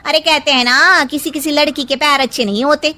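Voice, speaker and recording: A woman's voice: high-pitched, fairly even intonation, brisk, bright, forceful tone, soft background music, voice pushed up. A woman voicing a spiteful, taunting gossipy aunt in a cartoon story, dragging out her accusations. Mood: shocked, gossiping. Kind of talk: Hindi moral-story cartoon dubbing.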